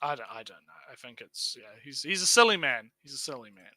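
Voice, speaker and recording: A man's voice: medium pitch, lively intonation, fast, sharp tone, dry recording. A man chatting casually about anime as a livestream co-host, joking and teasing with some swearing. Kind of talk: casual banter and anime chat.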